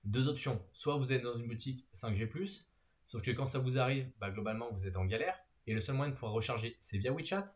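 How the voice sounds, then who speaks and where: A man's voice: medium pitch, fairly quick. Man giving casual travel tips. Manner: explanatory, many filler hesitations. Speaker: travel vlogger.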